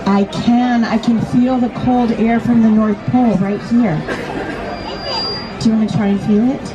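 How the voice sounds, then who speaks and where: A woman's voice: a little high, steady tone, normal speed, dull audio. A woman storytelling to an audience of children, reading a picture book aloud and putting simple questions to them. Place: large busy toy store event. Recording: busy public event, crowd noise, loud music.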